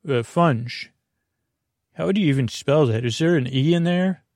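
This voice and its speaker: Creaky middle-aged guy rambling at bedtime, goofy tangents, super dry close mic. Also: on the high side, unhurried, darkish tone, no room sound. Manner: meandering, self-interrupting, goofy asides. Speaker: sleep podcast host.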